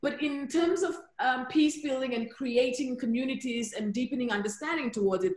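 A woman's voice: high voice, moderate pace, a little mellow. A woman facilitating an online workshop over a video call, explaining and inviting people to join in. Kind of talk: informal spoken workshop facilitation. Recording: echoey room on video call.

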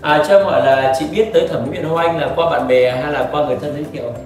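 A man's voice: on the high side, very fast, distant mic. A man in his thirties hosting a spa clinic promo, interviewing a customer in an upbeat, salesy way with lots of tag questions. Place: decorated spa studio set, indoors. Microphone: lapel mic. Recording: echoey room, off-mic, music behind.

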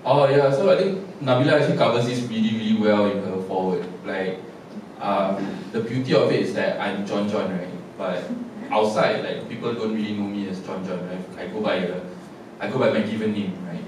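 A male playwright with a Singaporean English accent talks loosely on a panel, full of fillers. His voice sits a little low and is picked up from far across an echoey theatre with some background noise. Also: fairly quick. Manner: casual, rambling, filler-heavy. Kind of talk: post-show panel talk. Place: stage of a theatre, seated on a panel.

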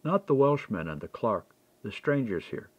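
A man reading a historical mystery as an audiobook, in a measured, expressive storytelling manner. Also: on the low side, dry recording, dull audio.

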